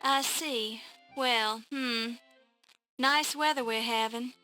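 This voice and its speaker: A woman's voice: fairly high pitch, fairly even intonation, takes it slowly, pushed and bright, soft background music, low-quality recording. An actress playing a curious young woman reporter who asks polite, probing questions in a 1990s adventure game. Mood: inquisitive.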